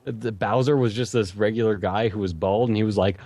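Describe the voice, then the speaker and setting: A man's voice: on the low side, steady tone, brisk, warm tone, no room sound. Blunt swearing man, slight Canadian accent. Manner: blunt, swears a lot, laughs between lines. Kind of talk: casual gaming chat.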